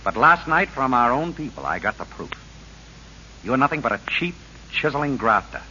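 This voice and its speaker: An actor playing an upright, principled prosecutor in old 1930s-style radio drama, speaking firmly, earnestly and in clipped phrases. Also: male voice, medium pitch, big pitch swings, moderate pace, bright, forceful tone. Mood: stern, indignant. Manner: earnest, firm, clipped delivery. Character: upright, principled male prosecutor running for governor. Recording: muffled old radio recording with hiss.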